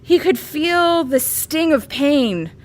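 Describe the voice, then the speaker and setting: Woman reading a dirty parody story out loud, highish voice, really animated. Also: takes it slowly. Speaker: comedy storyteller.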